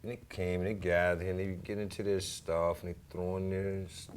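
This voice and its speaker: A man's voice: low voice, a little fast. A man speaking casually as a talk show guest, emphatic and cautioning, in short repeated phrases. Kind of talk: casual chat. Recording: clear steady background noise.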